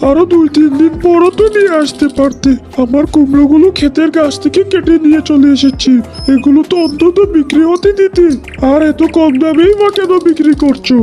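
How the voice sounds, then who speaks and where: A woman's voice: high voice, fairly quick, warm tone, soft background music, lightly acted. A woman voicing an earnest, put-upon young male animal farmer in a cartoon, with a pleading manner. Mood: hurt, complaining. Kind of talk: cartoon character voice acting.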